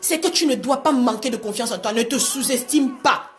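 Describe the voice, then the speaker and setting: High-pitched woman giving a motivational talk. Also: a little fast, soft background music. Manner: animated, exhortative, repeats phrases for emphasis, rhetorical questions. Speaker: motivational coach hosting a livestream. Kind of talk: motivational live talk to online viewers.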